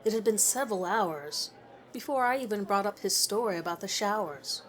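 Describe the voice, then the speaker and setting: A woman's voice: mid-range voice, normal speed, fairly bright tone. A woman reading a scripted first-person story aloud as its narrator.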